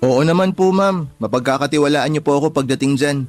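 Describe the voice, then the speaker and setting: An actor playing a polite, eager nineteen-year-old vendor trainee in a Filipino radio drama, deferential and earnest, with a Filipino accent. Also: male voice, on the high side, fast, dry recording, lightly acted. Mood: admiring, sincere. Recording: music playing behind the voice.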